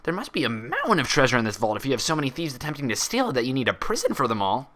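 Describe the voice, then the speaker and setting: A man acts out a childlike female cartoon pony, pitched far above a normal male voice. The delivery is fragile and halting, with stammers and a pleading tone, as in a dramatic story reading. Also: wildly swooping pitch, a bit bright. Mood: eager, naive.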